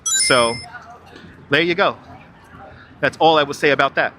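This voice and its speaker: A man's voice: fairly high pitch, a little slow, sharp tone, slight background noise. A middle-aged man, walking outdoors by a river on a live stream, chatting with viewers and reading their comments aloud. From New York. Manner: chatty, repeats himself, reads and answers viewer chat. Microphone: wireless clip-on mic.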